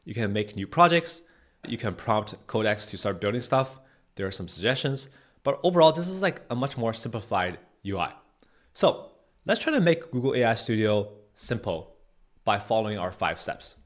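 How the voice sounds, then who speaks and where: A man hosting a software tutorial, explaining things in a conversational way as he walks through a screen demo. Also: on the low side, big pitch swings, normal speed. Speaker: host, product manager giving a tutorial. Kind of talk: tutorial narration with screen walkthrough.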